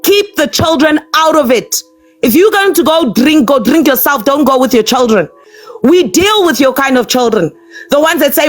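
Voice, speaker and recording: High-voiced woman scolding sharply. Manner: scolding, confrontational, rising to shouts. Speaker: livestream participant. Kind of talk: heated scolding on a social media live.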